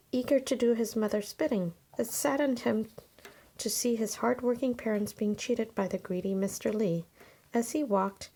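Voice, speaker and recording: High-pitched woman reading a children's story. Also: dark tone. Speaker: storybook reader. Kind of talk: scripted children's story read aloud.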